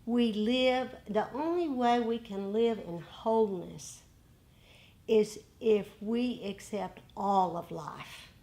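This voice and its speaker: A woman meditation teacher in an informal dharma discussion, explaining deliberately in short, repeated phrases. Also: medium pitch, mellow.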